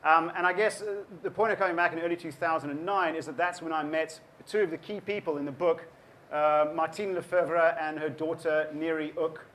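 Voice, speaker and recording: A man's voice: fairly high pitch, steady tone, brisk, a bit bright, slight background noise. A South African man in his forties giving an author talk to an audience, thoughtful and full of ums and restarts. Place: event room with an audience.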